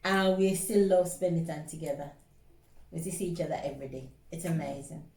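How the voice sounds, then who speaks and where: A woman's voice: low voice, steady tone, a little fast, dark tone. A middle-aged woman with a Nigerian accent, chatting casually and expressively, repeating words for emphasis. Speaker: co-host of a couple's relationship vlog. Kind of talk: casual storytelling chat. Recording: echoey room, distant microphone.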